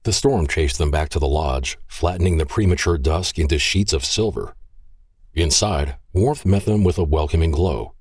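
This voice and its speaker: Low, husky male drama narrator. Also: normal speed, no echo. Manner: expressive, shifting into character voices. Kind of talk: scripted audio drama narration.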